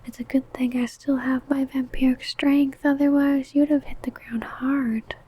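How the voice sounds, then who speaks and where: Woman as a slow, whispering, possessive vampire lover. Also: high-pitched, flat delivery, mellow. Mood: protective, possessive. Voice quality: breathy, unvoiced whisper. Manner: slow, intimate, crooning. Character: possessive, doting ancient female vampire, predatory yet tender. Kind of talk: whispered ASMR roleplay.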